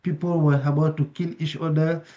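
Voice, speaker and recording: A man's voice: a little high, level tone, moderate pace, mellow, lo-fi sound. A man speaking English with a strong foreign accent in an online meeting. He hesitates, restarts and searches for words. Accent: non-native English. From outside Canada, non-native English speaker. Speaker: meeting participant, English learner. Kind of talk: casual online meeting talk.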